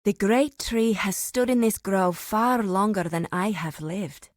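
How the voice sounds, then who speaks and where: A woman playing a reverent, solemn druid of an ancient tribe in a fantasy video game, speaking formally with deliberate pauses. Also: mid-range voice, no echo, clean studio sound, lightly acted. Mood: solemn, contemplative.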